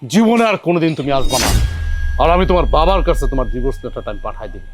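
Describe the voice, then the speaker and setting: A man's voice: a little high, big pitch swings, very fast, music in the background. A man playing an enraged young husband. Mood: furious. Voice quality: shouting, indignant. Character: young husband furious at wife's insults. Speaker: actor in a family drama.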